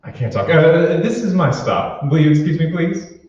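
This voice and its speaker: Casting: male actor as nervous, evasive radio-play passenger, dark tone, muffled distant recording. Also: a little high, sing-song, moderate pace. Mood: furtive, agitated. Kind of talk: old-time radio drama acting. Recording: reverberant hall, muffled, distant, noisy.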